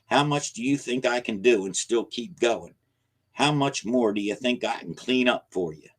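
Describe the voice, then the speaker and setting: A man voicing an aging rural man who scolds in rough, folksy grammar, angry and frightened. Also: lowish voice, moderate pace, natural voice. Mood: urgent, scolding. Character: gruff aging backwoods man, scared and angry.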